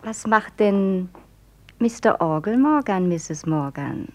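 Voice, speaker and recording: A woman's voice: medium pitch, lively intonation, slow pace, a little mellow. An actress playing a sly, teasing village woman gossiping and laughing in a radio play.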